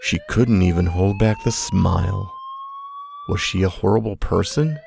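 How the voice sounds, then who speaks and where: A man narrating a story in measured, dramatic tones. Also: low-pitched, lively intonation, gravelly, noisy background. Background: music.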